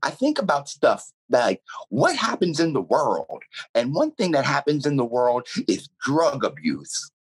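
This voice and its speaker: A man puts on an eccentric werewolf radio DJ for a comedy interview. The voice is husky and swings wildly up and down. He rambles earnestly and theatrically. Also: mid-range voice, wildly swooping pitch, measured pace, exaggerated voice. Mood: proud, rambling. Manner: theatrical, rambling, swooping emphasis.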